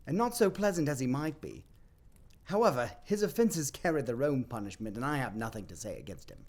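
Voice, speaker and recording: A man's voice: mid-range voice, sing-song, brisk, darkish tone, pitched above the performer's own voice. A man voicing a cheerful, good-humoured Victorian nephew in an audio play, jovial and hearty. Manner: jovial, exclaiming.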